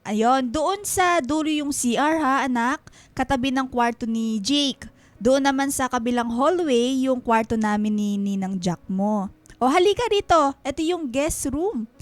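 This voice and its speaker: A chatty, hospitable middle-aged mother for radio drama. Her voice sits high, in a close, dry recording. She fusses effusively and proudly over everyone. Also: measured pace, no echo. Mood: welcoming, fussing. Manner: effusive, fussing motherly talk. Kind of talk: Filipino radio drama acting.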